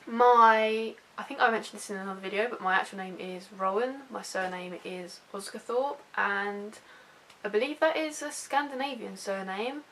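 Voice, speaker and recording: Young british woman doing a chatty youtube vlog, bright voice, rambly and informal. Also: mid-range voice, normal speed. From United Kingdom. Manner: informal, rambling, self-deprecating. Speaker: YouTube vlogger. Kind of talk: casual personal chat to camera.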